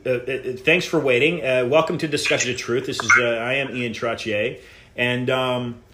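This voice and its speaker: A man hosting a live call-in show, introducing and questioning a guest in a halting way, with many restarts. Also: medium pitch, fairly even intonation, very noisy. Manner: halting, full of restarts and fillers. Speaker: podcast host.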